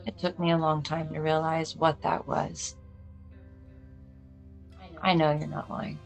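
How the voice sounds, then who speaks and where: A woman's voice: low-pitched, little pitch movement, a little slow, vocal fry, soft background music. A woman playing a tough, blunt, foul-mouthed cop wounded by her past, in a film script read-through. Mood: pained, earnest. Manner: earnest, blunt, swearing.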